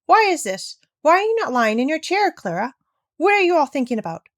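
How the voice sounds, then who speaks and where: Woman acting an exclamatory elderly grandmother, pitched up. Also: sing-song, brisk, no room sound, clean studio sound. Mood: shocked. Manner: exclamatory, emphatic, affectionate. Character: elderly well-bred grandmother, astonished and overjoyed.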